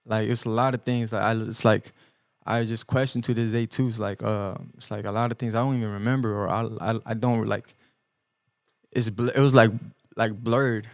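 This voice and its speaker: A young man born in El Salvador and raised in the US Midwest, a guest musician giving earnest, halting answers on a podcast, full of ums and restarts. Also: lowish voice, steady tone, dry recording. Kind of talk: reflective podcast interview answers. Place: podcast studio.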